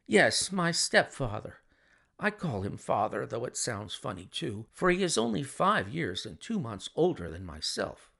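A man's voice: mid-range voice, very animated, a little slow, vocal fry, bright, forceful tone, full character voice. A man voicing a flustered, chatty young woman who speaks eagerly and emphatically. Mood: earnest.

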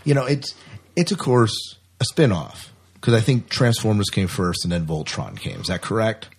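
A man's voice: on the low side, very animated, normal speed, gravelly, a little mellow, husky. A man co-hosting a podcast, chatting casually about news and repeating himself for emphasis. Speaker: podcast co-host.

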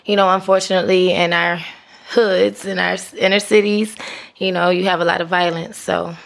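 A woman guest chatting casually on a podcast, talking quickly and trailing off. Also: fairly low pitch, little pitch movement, creaky, rough recording. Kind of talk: casual chat.

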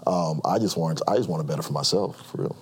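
A man in his thirties from Nigeria, telling his story as a guest in a casual interview, earnest and quick to laugh. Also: low voice, breathy edge. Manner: laughs often, earnest, many restarts.

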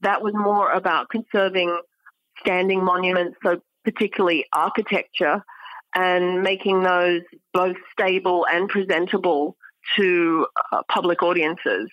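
A woman speaking as an academic guest in an interview, explaining things in a measured, scholarly manner. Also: lowish voice, steady tone, no echo, highs cut off. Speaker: guest academic, archaeology professor.

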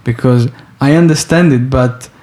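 Hesitant man with a mellow voice. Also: medium pitch, moderate pace. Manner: hesitant, searching for words. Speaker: interviewer. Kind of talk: casual interview chat.

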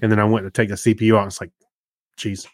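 A middle-aged man co-hosting a tech podcast, telling stories in a halting, rambling way. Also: lowish voice, little pitch movement, dry recording, clean studio sound. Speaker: podcast co-host. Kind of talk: casual chat.

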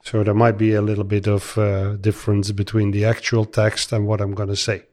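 A man's voice: lowish voice, monotone, a little fast, a little mellow. A man from the southern Netherlands, a Limburgish native speaker, chatting easily in English with a Dutch accent as a podcast guest. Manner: easygoing, loosely phrased non-native English. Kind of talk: casual chat. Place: chocolate factory.

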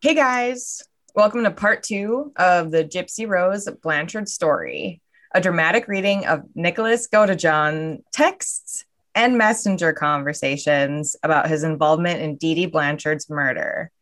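A woman's voice: on the low side, lively intonation, a little slow, a bit bright. A woman co-hosting a podcast, giving a playful scripted introduction. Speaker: podcast co-host.

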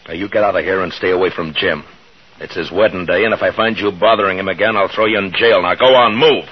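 A man's voice: sits low, quick pace, a bit bright, breathy edge, low-quality recording. An American man playing a stern but kindly frontier marshal in a 1950s radio Western, speaking with plain authority. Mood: commanding. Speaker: lead actor and narrator. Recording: 1950s radio drama, music behind.

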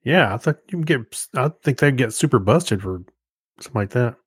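A man's voice: mid-range voice, lively intonation, brisk, slightly rough, no room sound, studio quality. An American man chatting casually as a podcast co-host. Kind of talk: casual chat.

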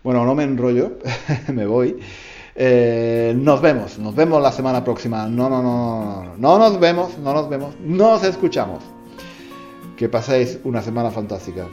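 A man's voice: mid-range voice, lively intonation, moderate pace, darkish tone, soft background music. A man hosting a podcast for language learners, telling a tall tale playfully and repeating phrases for emphasis. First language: Spanish. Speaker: podcast host. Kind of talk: storytelling to learners.

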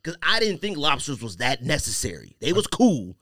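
Man, podcast host, lively swinging pitch, slangy teasing banter, dry close recording. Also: normal speed, no room sound. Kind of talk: casual sports-draft banter.

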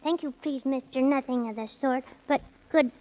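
A small, high-pitched boy of six, acted by a woman, polite and earnest, full of innocent curiosity. The voice comes through a dull old radio drama recording with little treble. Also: moderate pace, close to an everyday voice. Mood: polite, puzzled. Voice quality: small childlike voice. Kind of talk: 1930s radio acting.

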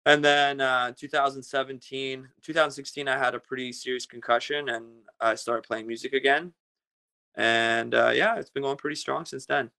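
A man in his thirties, originally from Russia, answers a podcast interviewer's questions in a casual, halting way, with frequent fillers and restarts. His voice is gravelly and bright and stays fairly flat in pitch, yet it comes through smooth and clear. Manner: hesitant, many fillers and restarts. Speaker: guest musician.

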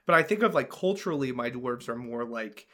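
A man's voice: mid-range voice, lively intonation, a little fast, fairly bright tone. A man co-hosting a casual tabletop-gaming podcast, talking eagerly with frequent fillers and restarts. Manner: enthusiastic, many fillers and restarts. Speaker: podcast co-host.

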